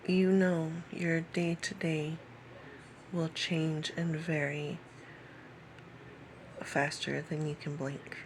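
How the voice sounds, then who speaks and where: Gravelly, slow-talking woman in her late thirties. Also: sits low, flat delivery, a little hiss or hum, poor audio. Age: late 30s. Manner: halting, repeats phrases, drops to a whisper at times. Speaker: vlogger. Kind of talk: personal talk to camera.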